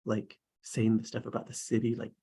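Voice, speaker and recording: Man, gravelly voice, husky, rambling, casual script chat. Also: medium pitch, completely flat delivery, a little fast, mellow. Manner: rambling, lots of "like" and restarts. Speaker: table read participant.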